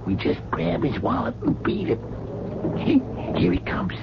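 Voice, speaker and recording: An actor playing a bossy, jumpy young mugger in a radio drama, stammering out excitable, menacing tough talk. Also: male voice, sits high, measured pace, creaky, exaggerated voice. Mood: tense, bossy. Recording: dull lo-fi radio recording with loud noise.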